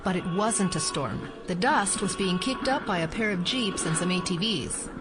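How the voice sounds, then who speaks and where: An actress playing an authoritative American FBI assistant director, brisk and businesslike. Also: female voice, on the low side, lively intonation, music in the background, natural voice. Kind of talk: audio drama acting.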